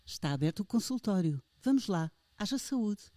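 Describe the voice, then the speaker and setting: A woman's voice: mid-range voice, lively intonation, moderate pace, dark tone, dry recording. A woman with a European Portuguese accent hosting a health podcast, interviewing a guest with playful, theatrical questions. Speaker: podcast host.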